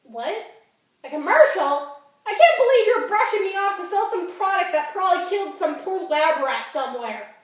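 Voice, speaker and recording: A woman's voice: sits high, normal speed, close to an everyday voice. A woman performing a theatrical monologue as an angry, wounded fifteen-year-old girl, melodramatic and sarcastic. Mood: outraged. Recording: echoey room, distant microphone.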